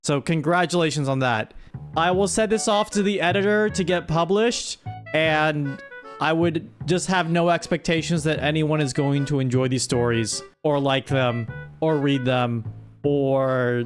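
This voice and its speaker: A high-voiced man hosts a stream in a casual, comedic way. He sounds exasperated and playfully scolds his viewers as he reads their words aloud, with faint music underneath. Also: a little slow. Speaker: streamer host.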